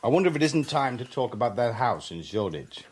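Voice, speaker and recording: A sardonic young spy. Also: male voice, medium pitch, sing-song, fast, a little mellow, husky. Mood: wry. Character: wry young male British spy. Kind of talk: radio drama acting.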